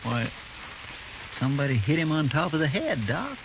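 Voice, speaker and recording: An actor playing an earnest, anxious deputy-like helper in an old-time radio western, hesitant, respectful and plainspoken. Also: male voice, fairly high pitch, big pitch swings, normal speed, gravelly. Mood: anxious, deferential. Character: earnest, deferential marshal's helper, simple and anxious. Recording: muffled old radio recording, noisy.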